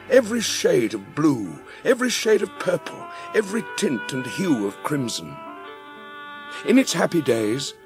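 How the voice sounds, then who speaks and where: A man narrating a dramatised storybook, expressive and savouring his phrases. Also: a little high. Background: music.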